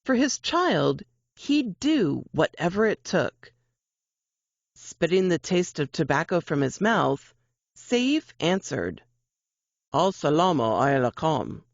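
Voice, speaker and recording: Expressive woman narrator, lively pitch swings. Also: slow pace, vocal fry, no echo, highs cut off. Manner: expressive, suspenseful storytelling. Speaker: narrator reading fiction. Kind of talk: dramatic audiobook-style narration.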